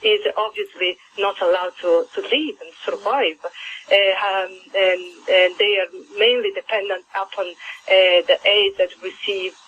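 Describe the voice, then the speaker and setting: A woman from Italy with an Italian accent, a guest on a radio interview, who speaks haltingly with many fillers and restarts. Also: medium pitch, fairly even intonation, a bit bright, highs cut off. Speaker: guest, play adaptor.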